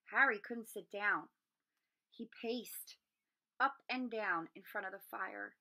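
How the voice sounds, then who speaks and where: A woman reading a children's novel aloud as an expressive storyteller. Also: medium pitch, slow pace. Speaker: storybook reader.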